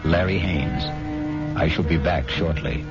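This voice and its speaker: Extremely deep-voiced male radio mystery narrator. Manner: measured, dramatic. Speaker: host and narrator. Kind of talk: scripted suspense radio narration. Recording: dull vintage radio broadcast, prominent music.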